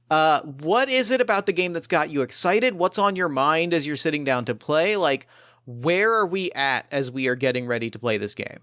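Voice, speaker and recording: A man's voice: mid-range voice, fairly bright tone, clean tone, no echo, studio quality. A man hosting as game master, chatting casually and narrating a game scene with enthusiasm. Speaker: game master and podcast host.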